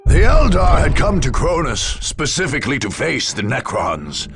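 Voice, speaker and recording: A man voicing a grave war chronicler, telling a sci-fi saga in a measured, dramatic scripted game narration. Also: fairly high pitch, huge pitch swings, gravelly, full character voice. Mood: grave, ominous. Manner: grave, measured, storytelling delivery. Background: music.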